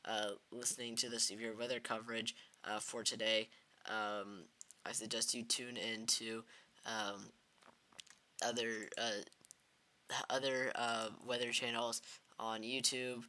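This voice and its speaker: A man hosting live severe weather coverage, talking in a halting way with many fillers and restarts. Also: mid-range voice, little pitch movement, sharp tone. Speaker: livestream weather host.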